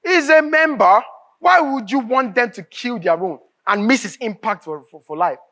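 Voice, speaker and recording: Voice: medium pitch, expressive, moderate pace, a bit bright. An actor with a Nigerian accent playing an anguished, bitter writer in a live stage drama, declaiming emphatically and repeating phrases. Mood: agitated. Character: anguished, bitter writer raging at a corrupt nation.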